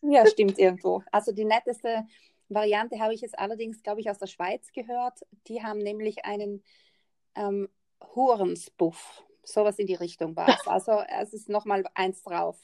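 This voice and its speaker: A woman with a South Tyrolean German accent speaks as a guest on an interview podcast. She chats casually, often breaking into laughter at her own remarks. Her voice sits at a middle pitch and sounds dry and close. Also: steady tone, moderate pace, mellow, no room sound. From South Tyrol, northern Italy. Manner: laughs often, chatty and self-mocking. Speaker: guest author.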